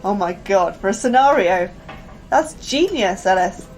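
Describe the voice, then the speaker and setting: A woman's voice: medium pitch, lively intonation, measured pace, a little mellow, faint music behind, natural voice, poor audio. An actress playing an anxious, apologetic woman scientist in a sci-fi audio drama, hesitant and earnest. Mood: impressed. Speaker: actor in a sci-fi horror fiction podcast.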